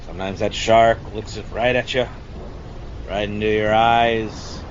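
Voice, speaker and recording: A man playing a gruff, boastful old shark hunter and war survivor in an amateur audio drama, telling salty, rambling stories. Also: lowish voice, fairly even intonation, measured pace, natural voice. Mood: grim, haunted. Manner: rambling salty storyteller, addressing listener as Chief. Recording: dull lo-fi audio with loud background noise.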